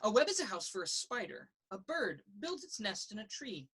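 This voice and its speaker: A woman reads a children's picture book aloud. Her pitch moves in a lively, expressive way through a sing-song rhythm. The voice has a husky, slightly rough edge. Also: moderate pace. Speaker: story reader. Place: indoors in a children's library.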